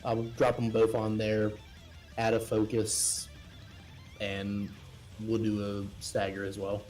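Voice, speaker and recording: A man's voice: fairly low pitch, completely flat delivery, slow pace, a little mellow. A man talking casually as a player in a tabletop role-playing game, informal and sweary. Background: guitar music.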